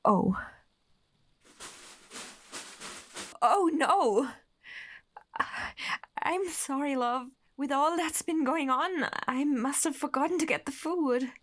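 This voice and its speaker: A woman's voice: high voice, slow. A woman playing an obsessive, possessive and volatile lover with sweet menace in an audio horror drama. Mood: doting, apologetic.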